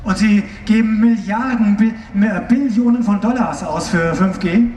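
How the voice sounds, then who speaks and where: A man interpreting a speech consecutively at an outdoor rally, halting and restarting at times. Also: high-pitched, a little mellow. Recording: echoey outdoor PA from far off, crowd.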